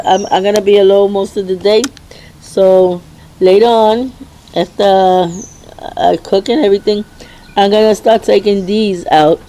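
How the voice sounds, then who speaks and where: A middle-aged woman with a hoarse voice giving a rambling, chatty garden tour outdoors, often stopping and restarting her sentences. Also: mid-range voice, mellow, rough recording. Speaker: home gardener. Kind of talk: casual garden tour vlog.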